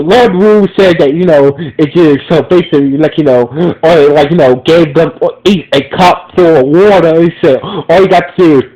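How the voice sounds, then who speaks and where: A man with a stuffy nose recapping an anime episode on his podcast, halting often with fillers and restarts. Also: high-pitched, dark tone, low-quality recording.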